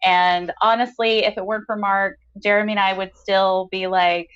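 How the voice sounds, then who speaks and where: A woman's voice: medium pitch, flat delivery, normal speed, a bit bright, clear, resonant tone. Enthusiastic woman podcaster, slightly dull audio. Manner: effusive, enthusiastic. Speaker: podcast co-host and writer. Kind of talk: casual podcast chat.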